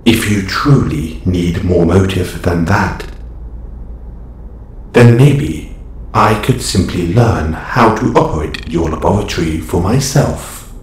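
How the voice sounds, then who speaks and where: A man playing a calm, formal robot superhero with quiet menace, speaking in stiff, precise phrases in an audio roleplay. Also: sits low, slow. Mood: coolly persuasive. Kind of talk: scripted audio roleplay acting. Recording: echoey, faint background ambient noise.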